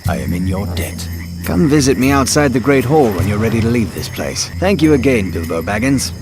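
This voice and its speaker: Man acting a noble town warrior, medium pitch. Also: big pitch swings, brisk, music in the background, close to an everyday voice. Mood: solemn, grateful. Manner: earnest, formal, heroic. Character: noble, commanding male town warrior, brave and grateful. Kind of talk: video game voice acting.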